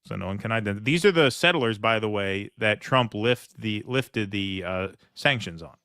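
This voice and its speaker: A man's voice: on the low side, lively intonation, normal speed, sharp tone, clean tone, no room sound, clean studio sound. A man hosting a political livestream, reading news articles aloud with casual asides, many fillers and indignant remarks. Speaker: political commentary host.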